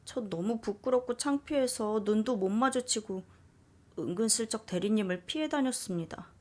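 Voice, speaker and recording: A woman narrating a listener's letter in first person, soft and confiding. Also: on the high side, expressive, normal speed. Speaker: story reader.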